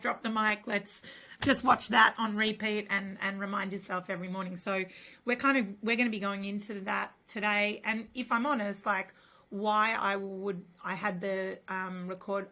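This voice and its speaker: Husky-voiced woman losing her voice. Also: mid-range voice. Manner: chatty, warm filler-heavy talk with many restarts. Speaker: host. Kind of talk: casual conversation.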